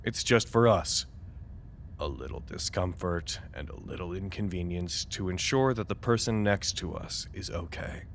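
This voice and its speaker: A man playing a weary, reflective staff member of a secretive facility, narrating an audio drama in a measured, solemn way. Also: low voice, expressive, creaky, slight background noise. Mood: somber, reflective.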